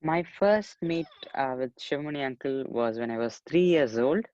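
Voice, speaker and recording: A man's voice: mid-range voice, animated, moderate pace, darkish tone. A polite, earnest young man from Chennai, still in his teens, talking through a muffled, dry recording with no room echo. He keeps dropping in "you know" as he recounts his story. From Chennai, India. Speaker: young actor and musician, interview guest. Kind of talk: casual interview.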